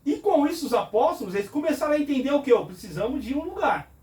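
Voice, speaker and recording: A man speaks earnestly in a fairly high voice, poses question after question, and answers each one himself. The room is echoey and he sits back from the mic. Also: very animated, fast talker, darkish tone. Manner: earnest, explains with rhetorical questions. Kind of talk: conversational preaching in a talk show. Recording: roomy echo, from a distance.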